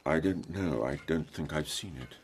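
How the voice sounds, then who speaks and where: An actor playing a shy, earnest, awkward gentleman in a period radio drama, halting, stammering and stiffly formal. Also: rumbling low, breathy edge. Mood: stiff, restrained. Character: shy, awkward, earnest colonial suitor and dull husband.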